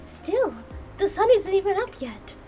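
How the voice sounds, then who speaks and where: A woman's voice: very high voice, sing-song, measured pace, dark tone, soft background music, pitched above the performer's own voice, exaggerated voice, poor audio. A woman voicing a timid, sweet young heroine of an anime visual novel, hesitant and polite. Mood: surprised, hesitant. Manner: hesitant, polite, easily flustered.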